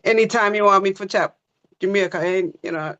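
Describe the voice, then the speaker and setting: A woman from Britain, a stage actor, chatting as a guest in a relaxed, breezy way. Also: medium pitch, a little fast, dull audio. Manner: relaxed, breezy, short plain answers. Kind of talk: casual interview chat.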